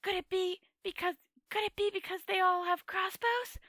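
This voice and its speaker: Casting: reluctant, squeaky coward of a wizard, voiced by a woman, storybook fantasy, high and bright, pleading and flustered. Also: steady tone, moderate pace, no room sound, studio quality. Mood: sarcastic. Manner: stammering, protesting, squeaky under pressure.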